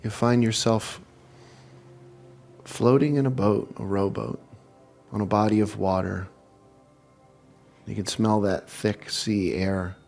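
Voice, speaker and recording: Man, lowish pitch, guided meditation leader, soothing, measured, unhurried, long pauses, background music. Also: fairly even intonation, vocal fry, darkish tone. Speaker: meditation leader and spiritual speaker.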